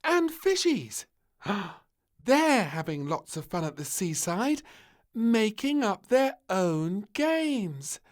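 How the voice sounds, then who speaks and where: A man voicing an excitable little girl princess in a storybook reading, squealing and eager. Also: high-pitched, slow pace, voice pushed up, exaggerated voice. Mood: delighted.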